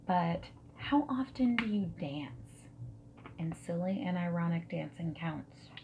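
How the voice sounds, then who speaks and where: A woman playing a friendly, non-judgmental dating service matchmaker in a gentle, soft-spoken and whispered ASMR roleplay. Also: fairly low pitch, vocal fry, background noise, heavily acted. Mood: friendly, curious. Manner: gentle, reassuring, asks questions and pauses for answers. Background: mechanical keyboard typing and clicks.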